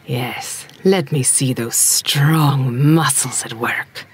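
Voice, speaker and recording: A woman's voice: a bass voice, slow pace, husky, exaggerated voice. A woman playing a timid, dainty, admiring pirate crew member in an audio drama, hesitant and fluttery.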